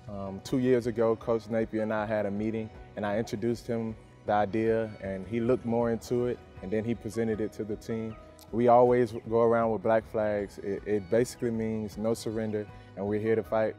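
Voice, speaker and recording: Confident young man, low mellow voice. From Louisiana. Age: young adult. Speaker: homecoming king. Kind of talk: interview. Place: outdoor campus garden.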